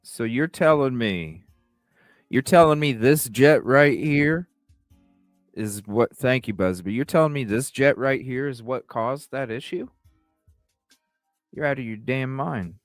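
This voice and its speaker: Slow-talking man on a livestream. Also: medium pitch. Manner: informal, halting, repeats himself. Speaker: livestream host. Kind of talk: casual live reaction commentary. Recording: roomy, music playing behind. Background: background music.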